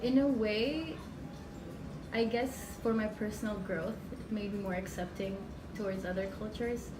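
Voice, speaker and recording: A woman's voice: medium pitch, unhurried, far from the mic, music in the background. A young woman from the Philippines giving reflective interview answers. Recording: echoey room with noise.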